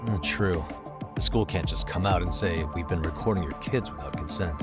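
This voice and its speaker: Actor as a deep-voiced, composed detective. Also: male voice, moderate pace, over loud music, close to an everyday voice. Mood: matter-of-fact. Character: composed, confident male detective, reasoning and steady. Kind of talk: English-dubbed video game cutscene acting.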